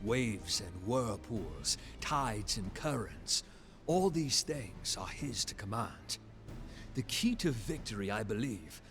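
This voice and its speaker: An actor playing a courteous military marshal in a video game, explaining tactics in deliberate, formal, old-fashioned phrases. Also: male voice, on the low side. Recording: game music underneath.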